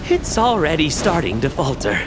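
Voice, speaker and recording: An actor playing an exhausted, doubting survivor in a video game, with a weary and labored delivery. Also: male voice, on the high side, wildly swooping pitch, normal speed, background noise. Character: exhausted, doubting male survivor near giving up. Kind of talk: dramatic video game voice acting. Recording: music clearly behind the voice.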